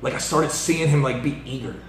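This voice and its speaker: Fast-talking animated man in his thirties. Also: medium pitch. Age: 30s. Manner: animated, profane, laughing often. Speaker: podcast host and artist manager. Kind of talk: casual chat. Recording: echoey room with faint noise.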